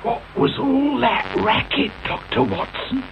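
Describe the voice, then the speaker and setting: Gossipy housekeeper, radio play: high anxious voice, dulled recording with clear hiss. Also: female voice, wildly swooping pitch, measured pace, full character voice. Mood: fretful. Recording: muffled, noisy old radio tape recording.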